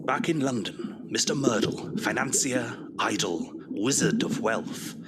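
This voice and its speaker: A man's voice: medium pitch, lively intonation, measured pace, very noisy. A man narrating an audio drama in a dramatic, scripted storytelling style. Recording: music behind the voice.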